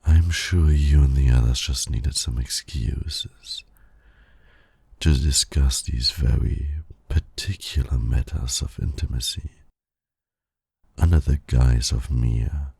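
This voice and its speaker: A man performs a suave villain flirting with his nemesis in an ASMR roleplay. His voice is dark, very breathy and full of gravel, recorded close and dry. He teases with formal, silky condescension. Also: a bass voice, slow, no echo, heavily acted. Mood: knowing, teasing.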